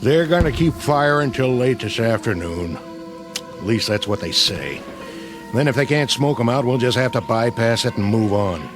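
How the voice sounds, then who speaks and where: Casting: male actor as gruff frontline sergeant, weary and wisecracking, heavy sarcasm, radio war drama with music underneath. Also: mid-range voice, expressive, moderate pace, close to an everyday voice. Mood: weary, wry. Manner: sarcastic, slangy, gruff soldier talk.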